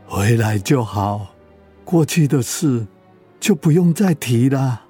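A man acting in a radio drama as a gentle, forgiving elderly father, consoling in manner. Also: medium pitch, expressive, takes it slowly, slightly rough, soft background music. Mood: gentle sorrow. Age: older.

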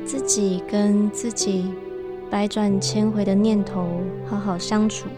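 A woman's voice: mid-range voice, little pitch movement, takes it slowly, natural voice. An actress playing an earnest, lonely romantic woman in a radio drama, speaking hesitantly and wistfully. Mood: sad, wistful. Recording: music bed under the voice.

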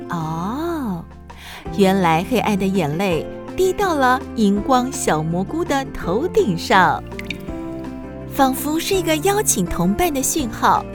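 A woman tells a children's story as narrator, gentle and dramatic. Her fairly high voice swings widely in pitch. She takes her time with each phrase, with music clearly playing behind her.

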